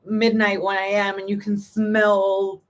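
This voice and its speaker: A woman's voice: medium pitch, monotone, a little slow. Young adult woman, stream host, casual and upbeat, bilingual asides. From United States. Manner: chatty, upbeat, mixes in Spanish phrases. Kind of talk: casual live stream chat.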